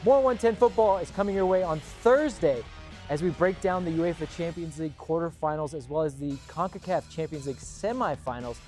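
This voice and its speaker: Male sports talk host, slightly high voice, emphatic and opinionated, faint music underneath. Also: fairly quick, darkish tone. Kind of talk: casual sports show commentary.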